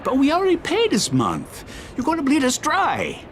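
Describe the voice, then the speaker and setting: A harried, pleading villager in a video game. His pitch swings wildly, over clearly noisy ambience. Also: wildly swooping pitch, normal speed, fairly bright tone, heavily acted. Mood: weary pleading. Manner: anxious, pleading. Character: harried, placating male villager. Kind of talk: video game cutscene acting.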